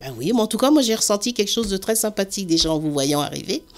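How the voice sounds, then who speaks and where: A woman's voice: mid-range voice, animated, quick pace. A woman from the Antilles talking in a reserved, hesitant way, often repeating "voilà" and "oui" as an interviewee. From French Antilles. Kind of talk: casual conversation.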